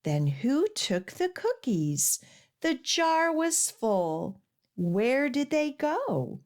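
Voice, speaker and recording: A woman hosting a children's storytime, reading aloud with deliberate, expressive, sing-song phrasing. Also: high voice. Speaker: children's storytime host reading picture books.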